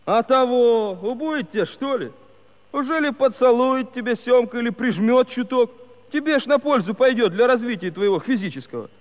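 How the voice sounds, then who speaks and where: A brash young Cossack lad with a high, needling voice. He puts on pompous, learned airs to mock people in stage musical comedy manner. The old recording is dull and swims in long reverb. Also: animated, moderate pace. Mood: teasing. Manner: mocking, pompous mock-elegant talk. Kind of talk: theatrical musical comedy acting.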